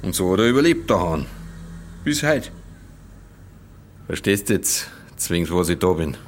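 A man's voice: low-pitched, big pitch swings, normal speed, slight background noise. An actor playing an insistent, scheming young village man in a radio drama, explaining emphatically with a Bavarian accent. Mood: urging, persuasive.

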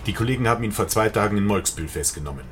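A chatty policeman in a radio drama, knowing and wry as he explains. His fairly low voice moves up and down freely over slight background ambience. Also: animated, racing, fairly bright tone, slight background noise. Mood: informative.

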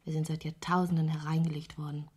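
An actress playing an intense, wry woman painter in a radio play, giving terse answers in a hushed, breathy voice. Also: low voice, level tone, fast talker. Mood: dry, wry. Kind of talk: intimate German radio play dialogue.

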